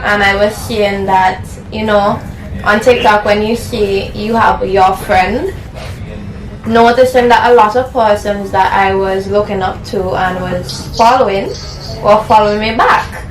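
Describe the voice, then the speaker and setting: A woman's voice: mid-range voice, a little slow, a crowd in the background. A young woman with a Vincentian accent, interviewing a guest over a casual call. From Saint Vincent and the Grenadines. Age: young adult. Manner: relaxed, chatty, asks questions plainly. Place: at work. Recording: echoey room, distant from mic, voices around.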